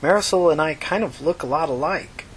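A woman's voice: low-pitched, a little fast, a little hiss or hum, voice pushed up, natural voice. A woman voicing a desperate, stammering teenage runaway girl in an emotional audiobook story. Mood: puzzled. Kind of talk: audiobook character dialogue.

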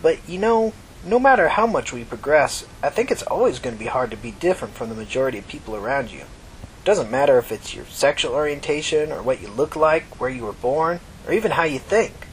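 A man's voice: mid-range voice, animated, a little fast, a little hiss or hum, natural voice, poor audio. A man voicing a kindly, talkative old mother from New York in a story reading, folksy, reflective and reassuring. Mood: reflective, encouraging. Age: older.

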